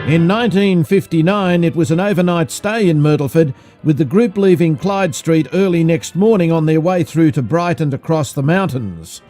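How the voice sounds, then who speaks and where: Mellow Australian man narrating a newsreel. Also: fairly high pitch, normal speed, hardly any pauses. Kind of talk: scripted narration in old cinema newsreel format. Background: music.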